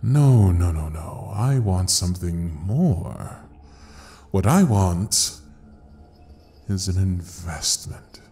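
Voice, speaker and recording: An actor playing an ancient devil who rules hell, taunting and theatrical in an audio roleplay with a drawn-out delivery. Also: male voice, deep voice, sing-song, warm tone, full character voice. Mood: teasing, calculating. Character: ancient, mocking male devil ruling hell. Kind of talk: dramatic audio roleplay acting.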